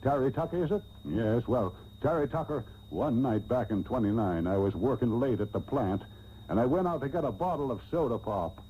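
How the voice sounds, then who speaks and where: A man's voice: medium pitch, lively intonation, normal speed, mellow, natural voice. A man playing a cranky, blustery, pompous old auto tycoon in a comic radio soap. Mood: indignant. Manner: irascible, old-fashioned slangy bluster. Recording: dull, noisy old radio tape with hum.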